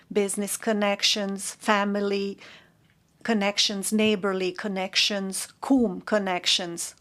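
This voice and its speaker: A woman interpreting a formal courtroom statement into English, measured and halting, correcting herself as she goes. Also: medium pitch.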